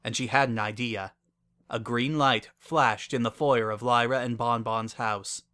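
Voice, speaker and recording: A man's voice: medium pitch, fairly even intonation, unhurried, bright, forceful tone, smooth. A man reading scripted dramatic narration as a story narrator.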